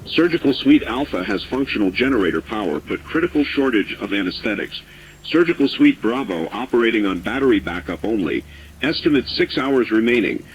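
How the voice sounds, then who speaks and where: A man's voice: medium pitch, lively intonation, a little fast. A man playing a sober underground clinic operator in an audio drama, giving terse, formal, factual reports over a radio filter. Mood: grave, factual. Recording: dull radio signal with faint noise.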